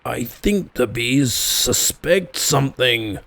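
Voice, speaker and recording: A man voicing an earnest, dim-witted toy bear in a slow, ponderous storybook manner. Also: mid-range voice, expressive, a bit bright, no echo, voice pushed up. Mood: anxious, hopeful.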